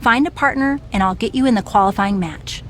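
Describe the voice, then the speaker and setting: An actress playing a wry, no-nonsense female wrestling official in a video game cutscene, speaking briskly. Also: a little high, fairly bright tone, slight background noise, lightly acted. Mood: brisk, firm.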